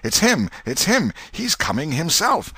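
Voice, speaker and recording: Man playing an excitable high-pitched townsman. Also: a little slow, husky, dry recording, full character voice. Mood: excited alarm. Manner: exclaiming, folksy. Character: excited, judgmental small-town onlookers in a crowd.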